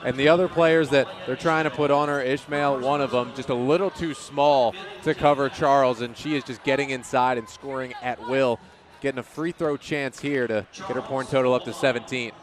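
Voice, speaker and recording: A man's voice: mid-range voice, fairly even intonation, normal speed, crowd noise. A man provides live basketball analysis as a radio color commentator. His voice sits in a middle register, with the sounds of the court behind him. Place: indoor basketball arena.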